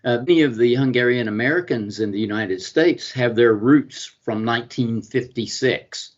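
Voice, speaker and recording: A man's voice: medium pitch, normal speed. A middle-aged man with a Georgia Southern accent, answering interview questions as a consul and attorney, with many fillers and restarts. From US state of Georgia. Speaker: consul and business attorney, guest. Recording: dull lo-fi sound.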